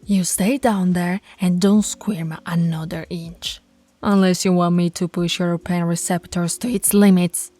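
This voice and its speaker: A woman voicing a dominant, stern alpha werewolf pack leader in an ASMR roleplay, scolding and taunting in a commanding, deliberate way. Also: on the low side, steady tone, mellow, soft background music. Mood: taunting, domineering.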